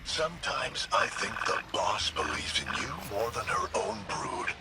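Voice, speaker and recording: Snide, swooping-voiced little machine sidekick. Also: male voice, fairly low pitch, sing-song, measured pace. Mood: mocking. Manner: sarcastic, mocking, brash. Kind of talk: video game character dialogue. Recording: distant, with loud game noise behind.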